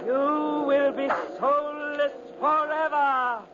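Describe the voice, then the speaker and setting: A performer playing a vengeful undead witch woman with slow, menacing declamation in a 1950s radio horror drama. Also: high voice, little pitch movement, over loud music. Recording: very noisy, muffled 1950s radio recording.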